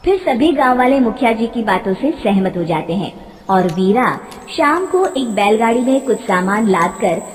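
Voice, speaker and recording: A female narrator tells a scripted tale with dramatic flair. Her voice is fairly high and very animated in pitch, set in a long reverb. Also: normal speed, a little hiss or hum.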